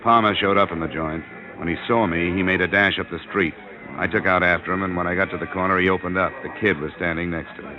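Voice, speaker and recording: An American actor playing a tough, cynical insurance investigator in a radio crime drama, with a clipped, blunt delivery. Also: male voice, sits low, steady tone, fast, a crowd in the background, natural voice. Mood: grim. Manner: clipped, hard-boiled, blunt. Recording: dull vintage radio recording.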